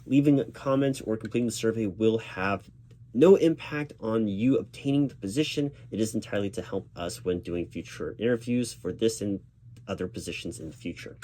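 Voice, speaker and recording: A man doing a spoken ASMR job interview roleplay as a reassuring, gently professional interviewer who speaks a little hesitantly. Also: fairly low pitch, fairly quick. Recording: clear background noise of keyboard typing. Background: computer keyboard typing.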